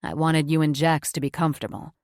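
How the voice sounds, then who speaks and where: A woman voicing a tender, remorseful man, a doctor, speaking low and earnestly. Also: fast talker, darkish tone, no echo, studio quality, natural voice.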